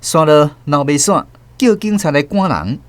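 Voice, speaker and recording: A man's voice: a little high, moderate pace, no room sound. A man, host and narrator, reading a story in scripted narration from a radio studio. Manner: steady storytelling.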